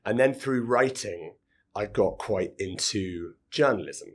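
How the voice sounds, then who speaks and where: A man in his thirties, a podcast guest, chatting in a relaxed, rambling way with a hoarse, scratchy voice. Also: fairly low pitch, takes it slowly. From United Kingdom. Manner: chatty, rambling, repeats words. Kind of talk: casual interview talk.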